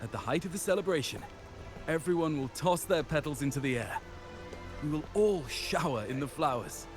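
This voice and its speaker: A man's voice: fairly high pitch, expressive, normal speed, natural voice. A man playing a genial, formal master of ceremonies in a fantasy game, speaking in a ceremonious, reassuring way. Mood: courteous, reassuring. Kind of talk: scripted fantasy game dialogue. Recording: music and outdoor ambience behind.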